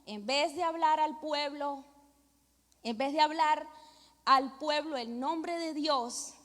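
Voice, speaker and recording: A woman's voice: sits high, takes it slowly, a bit bright. A middle-aged woman with a Venezuelan accent, a pastor preaching and praying with emphatic, repeated devotional phrases. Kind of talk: church sermon and prayer. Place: church hall with long reverb. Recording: reverberant hall.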